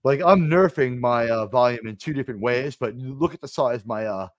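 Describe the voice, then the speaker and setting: A man hosting a casual online watch party, loud and joking, cursing freely as he talks, on a desk mic at home. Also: medium pitch, fairly quick, no echo. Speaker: streamer host.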